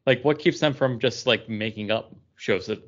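A man co-hosting a podcast, debating casually and often rephrasing haltingly mid-thought. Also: mid-range voice. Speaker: podcast co-host. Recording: dull lo-fi audio.

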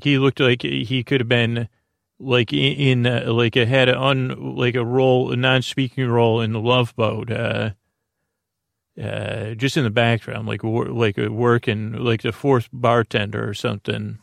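A man with a gravelly, creaky voice drifts from thought to thought in an unhurried bedtime ramble. He trails off and restarts constantly, filling the gaps with soft "uh"s. The sound is dry and close, with no room around it. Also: mid-range voice, no room sound. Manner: meandering, many fillers and restarts. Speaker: podcast host. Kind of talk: rambling sleep-podcast monologue.

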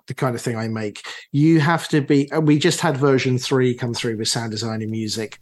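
Chatty man with frequent restarts. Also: mid-range voice. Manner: animated, many restarts and fillers. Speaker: audio drama producer and director. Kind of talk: casual interview answers.

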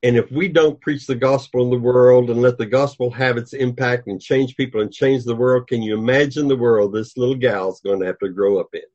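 An older man speaking as a guest on a religious discussion show, earnest and measured. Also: medium pitch, fairly even intonation, darkish tone. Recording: dull lo-fi sound.

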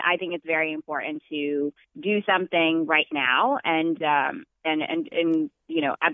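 Woman from Ethiopia, smooth voice, dry tinny phone line, earnest organizer. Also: low voice, no echo. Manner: earnest, upbeat, with fillers. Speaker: march organizer. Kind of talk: phone interview.

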